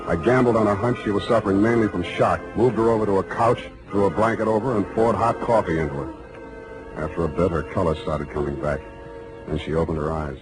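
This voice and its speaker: An American actor playing a tough, wry private detective narrating a 1940s radio crime drama in clipped style. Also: male voice, low-pitched, steady tone, fairly quick, natural voice, low-quality recording. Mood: terse, grave. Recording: old 1940s radio broadcast, music behind. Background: music.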